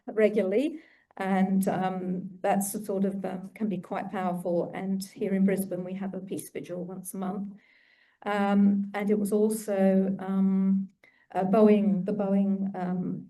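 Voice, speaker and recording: Hesitant woman with a mellow voice. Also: mid-range voice. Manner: halting, hesitant, searching for words. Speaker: conference participant reporting back from a discussion group. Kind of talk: informal spoken summary to a plenary. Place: conference hall, live talk.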